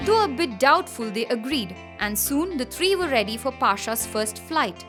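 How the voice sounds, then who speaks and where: A woman narrating a children's bedtime story in an expressive, scripted style. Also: sits high, moderate pace. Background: background music.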